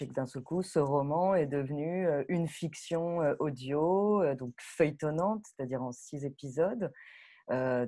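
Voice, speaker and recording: A woman speaking as a guest director on a live panel, explaining in a halting way with pauses mid-sentence. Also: rumbling low, a little mellow.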